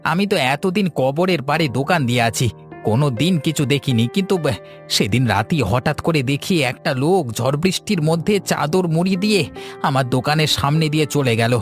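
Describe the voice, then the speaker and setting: A timid cartoon shopkeeper from a village , played by a man. His voice is somewhat high, with a nervous, deferential manner. Faint music runs behind the dialogue. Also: animated, moderate pace, natural voice. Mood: worried. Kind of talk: animated ghost story dialogue.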